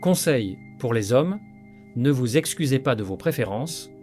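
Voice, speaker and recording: A man's voice: mid-range voice, lively intonation, a little slow, a little mellow, soft background music. A man narrating scripted commentary over clips in a blunt, lecturing way. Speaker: commentary channel host.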